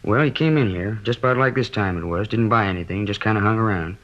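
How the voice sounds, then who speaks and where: An American actor playing a chatty neighborhood grocer in an old radio drama, folksy, rambling and hedging. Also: male voice, lowish voice, quick pace, a bit bright, lightly acted. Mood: confiding. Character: chatty, gossipy but discreet male neighborhood grocer. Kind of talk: 1950s radio drama acting. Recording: dull old radio recording.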